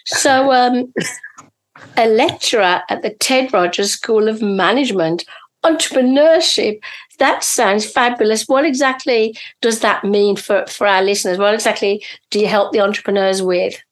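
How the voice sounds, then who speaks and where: A middle-aged woman hosting a casual podcast interview, with a slightly hoarse edge to her voice. She is enthusiastic and chatty, with plenty of ums and repeated words. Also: medium pitch, unhurried, low-quality recording. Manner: enthusiastic, chatty, many fillers. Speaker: podcast host.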